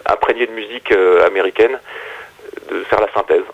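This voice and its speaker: A man's voice: fairly low pitch, a little fast. A French-speaking singer and guitarist, a man, answering radio interview questions with many fillers and restarts. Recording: dull lo-fi radio sound.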